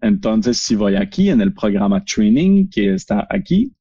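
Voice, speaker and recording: Man, Québécois French accent, slow halting speech, mellow voice, dry muffled mic. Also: fairly low pitch, very animated, husky, no room sound. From French Canada. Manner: halting, frequent "eh" fillers and restarts. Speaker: software founder presenting a webinar. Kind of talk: product demo webinar.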